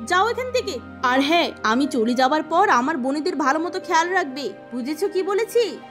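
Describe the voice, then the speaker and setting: A woman's voice: sits high, expressive, moderate pace, fairly bright tone, faint music behind, pitched above the performer's own voice. A woman voicing a greedy, bossy young village woman. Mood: angry, haughty. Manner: scornful, bossy. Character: haughty, greedy, lazy young eldest sister, village girl.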